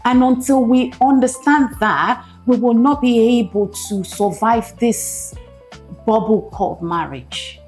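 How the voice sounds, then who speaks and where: A woman on a discussion panel talks earnestly, often stopping to search for the right words. Her voice sits fairly high, and faint music plays underneath her. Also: unhurried, a little mellow.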